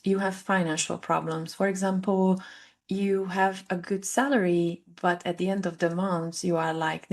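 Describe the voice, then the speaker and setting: A woman with a Hungarian accent speaks in a somewhat low, gravelly voice. She explains things steadily, pausing now and then to find the word, in a relaxed podcast conversation. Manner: explanatory, measured, with occasional hesitations. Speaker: guest, family constellation facilitator.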